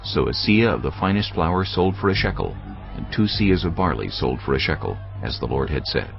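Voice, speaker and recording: Super deep gravelly guy narrating bible drama, solemn but lots of pitch movement. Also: animated, moderate pace. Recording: dull, muffled audio over music.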